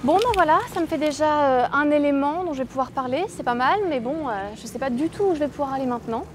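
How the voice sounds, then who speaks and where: A woman's voice: high-pitched, fast talker, over music. A woman presenter talking casually and spontaneously as she explores and asks questions. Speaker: presenter on a discovery challenge.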